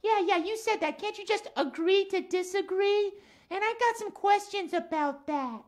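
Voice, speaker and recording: A man voicing an earnest, principled female cat puppet for a children's show, with a halting, repetitive way of talking. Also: very high voice, voice pushed up.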